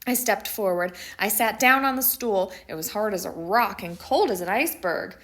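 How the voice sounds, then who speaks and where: Woman reading as a dramatic, mischievous schoolboy, very animated. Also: medium pitch, close to an everyday voice. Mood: playful exasperation. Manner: theatrical, emphatic, dramatic pauses. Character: mischievous, dramatic schoolboy narrating his own picture day. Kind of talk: first-person picture book storytelling.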